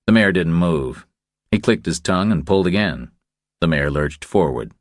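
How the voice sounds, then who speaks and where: A man's voice: low-pitched, expressive, moderate pace, no echo, studio quality. A man reading a crime thriller audiobook as a scripted narration.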